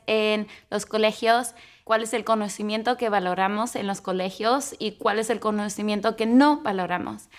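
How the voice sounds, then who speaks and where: A young adult woman, a doctoral student, speaking earnestly in an academic conversation with fillers and small self-corrections. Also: on the high side.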